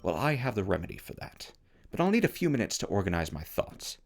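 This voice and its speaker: A man's voice: lowish voice, very animated, fast talker, soft background music. A man playing a pompous, bossy, self-proclaimed emperor hosting a scripted post-apocalyptic radio comedy with grandiose, exasperated commands. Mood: pompous, self-important. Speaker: radio host.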